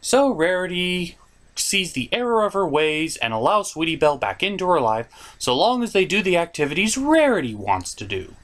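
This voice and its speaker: A man's voice: sits high, sing-song, moderate pace, a bit bright. A man hosting a scripted comedic episode review with a sarcastic delivery. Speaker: reviewer, host.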